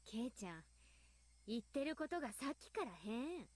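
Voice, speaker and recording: A woman's voice: a little high, very animated, a little fast. A woman voicing a cold, menacing teenage girl in a Japanese visual novel, creepy and pointed in manner. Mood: cold accusing.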